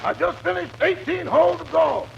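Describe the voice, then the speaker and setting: A man playing a cheeky, breezy employee checking up on his boss, raspy-voiced, in 1940s radio comedy. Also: extremely high pitch, normal speed, heavily acted. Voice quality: gravelly, raspy. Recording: muffled, noisy old radio recording.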